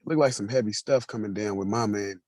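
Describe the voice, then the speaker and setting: A man's voice: on the low side, quick pace, a little mellow, no room sound, studio quality, natural voice. A man reading the part of a concerned brother in a staged play, in a colloquial, pressing manner. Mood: concerned, questioning. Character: concerned brother questioning his sister.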